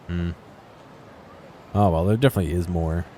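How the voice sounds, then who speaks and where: A man giving casual, off-the-cuff commentary as he explores a video game, low-key and musing to himself. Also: moderate pace, warm tone, slight background noise. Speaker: gaming video host.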